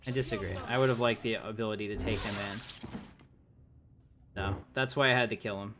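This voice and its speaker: A man's voice: fairly low pitch, a little fast, noisy background. A man casually commenting on his gameplay during a livestream, chatty and joking with viewers. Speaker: livestream gamer.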